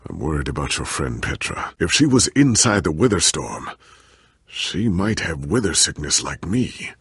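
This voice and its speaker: A man playing a weary, ailing, confused warrior hero in a video game, with husky gravelly voice and formal, grave diction. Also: low voice, sing-song, normal speed, fairly bright tone, no room sound, clean studio sound, full character voice. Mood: somber, worried. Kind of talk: dramatic video game voice acting.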